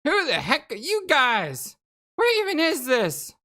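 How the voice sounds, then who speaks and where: A woman's voice: high voice, huge pitch swings, a little slow, pushed and bright, a little hiss or hum, pitched above the performer's own voice, heavily acted. A woman voicing a brash, indignant punk lady from a visual novel in a demanding manner. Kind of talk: visual novel character read-aloud.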